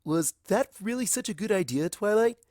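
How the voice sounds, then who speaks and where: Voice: medium pitch, expressive, moderate pace, a little mellow, dry recording, clean studio sound, pitched above the performer's own voice, natural voice. A narrator as an earnest young boy dragon sidekick. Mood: questioning.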